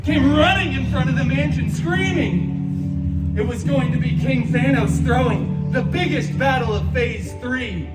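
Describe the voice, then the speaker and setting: A very high-voiced, flamboyant male antihero tells a mock fairy tale with theatrical sarcasm and wisecracking asides. His voice echoes from a distant park sound system, with music playing clearly behind him. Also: unhurried. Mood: gossipy, sassy. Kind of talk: live theme park comedy storytelling show. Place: outdoor theme park stage, heard from the audience. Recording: echoey amplified park show over music. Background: background show music.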